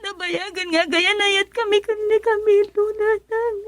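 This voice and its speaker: A woman's voice: very high voice, steady tone, a little slow, no room sound. A woman acting a timid, tearful young daughter in an Ilocano radio drama, pleading hesitantly.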